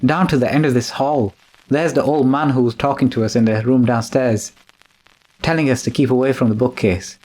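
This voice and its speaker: A man's voice: medium pitch, steady tone, brisk, darkish tone, close to an everyday voice, poor audio. A man playing a nervous, deferential manservant in a radio drama, with a fretful, complaining way of talking. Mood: anxious, hushed. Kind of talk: classic radio drama acting.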